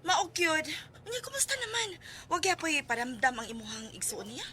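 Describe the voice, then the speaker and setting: An actress playing a chatty, sympathetic friend with an exclamatory, gossipy manner in a radio drama. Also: female voice, sits high, very animated, moderate pace, sharp tone, heavily acted.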